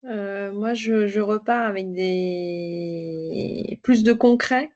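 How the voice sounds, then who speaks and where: A woman taking part in a workshop gives slow, halting feedback on a video call. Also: mid-range voice, darkish tone. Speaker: workshop participant. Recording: dull lo-fi video call audio.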